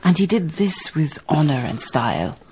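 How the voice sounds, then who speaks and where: An actress in a radio drama playing a dignified, thoughtful baroness, telling her tale in measured literary phrases. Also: deep voice, sing-song, darkish tone, slightly rough, exaggerated voice. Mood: lyrical, solemn. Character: reflective, dignified baroness, storytelling colonial farm owner. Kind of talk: radio drama acting and narration.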